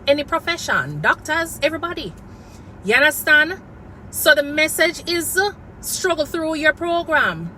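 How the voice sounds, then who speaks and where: A woman's voice: high-pitched, sharp tone, a little hiss or hum, low-quality recording. A woman from Jamaica with a Jamaican accent, a nurse giving earnest advice in a casual vlog and slipping into patois phrases. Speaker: nurse and nursing coach. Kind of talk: casual vlog talk to camera.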